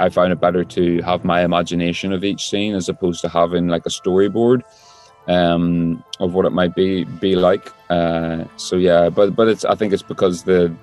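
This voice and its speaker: A man's voice: deep voice, darkish tone. A man, an actor, talking casually and hesitantly in an interview, searching for his words.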